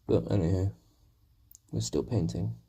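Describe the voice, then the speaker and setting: Husky young man with a sore throat. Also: on the low side, measured pace, mellow. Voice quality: gravelly, husky. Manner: rambling, self-deprecating, talking to viewers. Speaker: streamer. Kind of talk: casual live-stream chat while painting.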